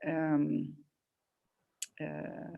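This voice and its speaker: A woman's voice: low-pitched, monotone, extremely slow, creaky, phone-quality sound, slight background noise. A woman hosting a casual online conversation, speaking reflectively with hesitations and restarts. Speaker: host.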